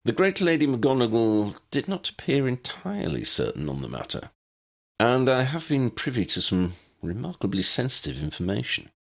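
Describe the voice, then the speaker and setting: A man's voice: medium pitch, wildly swooping pitch, moderate pace, vocal fry, a bit bright, exaggerated voice. A man voicing a wordy, courteous, eccentric old man in an audiobook, with formal and ornate phrasing. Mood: pedantic. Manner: formal, ornate, pompous diction.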